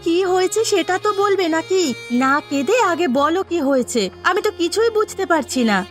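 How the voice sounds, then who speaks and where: Woman acting a poor young village girl, really high pleading voice, anxious, cartoon melodrama. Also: animated, brisk, faint music behind. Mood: worried. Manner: plaintive, pleading.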